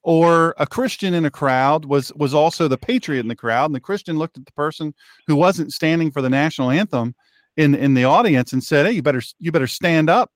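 A man in his fifties hosting an opinionated radio talk show, often repeating words for emphasis in a halting way. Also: on the high side, no room sound, studio quality. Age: 50s.

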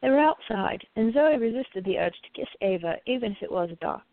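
A woman's voice: on the high side, lively intonation, a little fast, no echo, phone-quality sound. A woman narrating a radio play from a script.

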